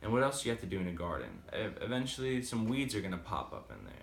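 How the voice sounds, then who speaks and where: Low-voiced man, rambling motivational vlog. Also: quick pace, gravelly, echoey room, slight background noise. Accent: slight Boston. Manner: relaxed, rambling, many fillers. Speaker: vlogger. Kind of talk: casual motivational talk to camera.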